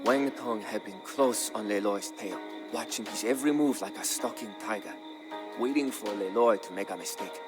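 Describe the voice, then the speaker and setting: Solemn male narrator over music. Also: fairly low pitch. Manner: measured, solemn storytelling. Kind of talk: scripted dramatic historical narration. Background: background music.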